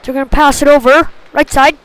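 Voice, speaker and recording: High-pitched woman calling hockey play-by-play. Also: little pitch movement, brisk, low-quality recording. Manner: short clipped phrases, following play as it happens. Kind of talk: live sports commentary.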